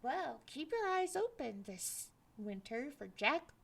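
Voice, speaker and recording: A woman reading a picture book aloud at library story time, expressive and dropping to hushed whispers. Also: high-pitched, takes it slowly, vocal fry.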